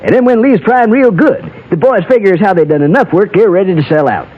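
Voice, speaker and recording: A man's voice: high-pitched, animated, brisk. A man playing a gossipy Old West barber with a rustic Western American accent, telling tales in folksy radio drama style. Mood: gleeful gossip. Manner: folksy, colloquial storytelling. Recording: muffled old radio recording.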